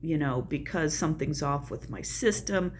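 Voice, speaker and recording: A woman's voice: deep voice, expressive, moderate pace, slight background noise. An older woman with a Southern US accent, chatting casually and folksily about her own life on her video channel. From American South. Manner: chatty, folksy, self-deprecating asides. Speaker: channel host. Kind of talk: casual personal vlog.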